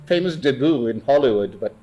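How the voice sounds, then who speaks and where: A man with a Greek accent talks in a bar at a middling pitch, stopping and restarting often with lots of fillers. Faint music plays behind him. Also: lively intonation, warm tone. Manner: halting, many fillers and restarts. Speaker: guest. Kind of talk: casual chat.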